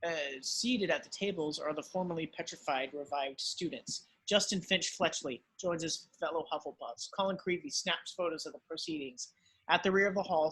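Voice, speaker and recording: A man's voice: fairly high pitch, pushed and bright, husky, low-quality recording. A man reading screenplay stage directions as dramatic scripted narration.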